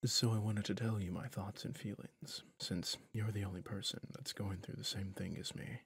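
A man playing a lonely, weary fantasy villain in an intimate ASMR roleplay, breathy and husky, often near a whisper, brooding and tender as he confides. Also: fairly low pitch, steady tone, no echo, exaggerated voice. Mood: wistful, lonely. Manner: slow, brooding, confiding with pauses.

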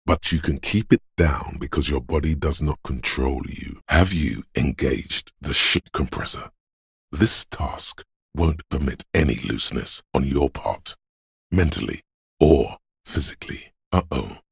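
Extremely deep, gravelly brooding inner voice. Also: male voice, expressive, no room sound, clean studio sound, exaggerated voice. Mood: stern, mocking. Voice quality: very deep, gravelly, husky rasp. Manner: slow, deliberate, solemn, brooding. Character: gruff, menacing inner voice of a man's body, reactionary, coaxing. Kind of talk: scripted game voice lines, dramatic narration.

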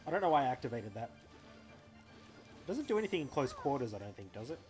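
A man's voice: mid-range voice, big pitch swings, fast talker, music in the background. A young Australian man chatting playfully to himself while streaming a game live from home. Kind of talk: live gameplay commentary.

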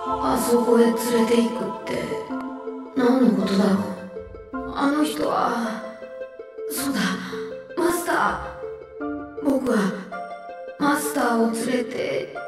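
A woman's voice: on the high side, slow, long pauses. A woman playing a young, innocent boy hero in a video game cutscene, dazed and hesitant as he muses. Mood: dazed, searching. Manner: hesitant, musing. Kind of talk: dramatic game cutscene acting. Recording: heavy echo, distant, music behind.

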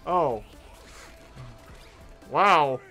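A man's voice: on the high side, animated, extremely slow, sharp tone, soft background music. A man hosting a reaction stream, giving casual, exclamatory commentary as he watches.

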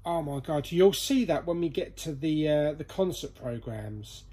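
Higher-voiced man vlogging casually. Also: lively intonation, measured pace, dark tone, slightly rough. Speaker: vlog host. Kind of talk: casual chat while going through a collection. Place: indoor storeroom full of boxes.

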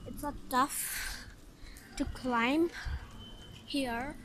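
Young woman walking and filming on her phone, high voice, simple halting english, noisy around her. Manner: simple halting English, explaining as she walks. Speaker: vlogger touring a cave temple. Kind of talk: casual handheld travel vlog narration. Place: outdoor temple grounds and a large cave. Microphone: phone camera held by hand. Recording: phone recording with loud ambient noise.

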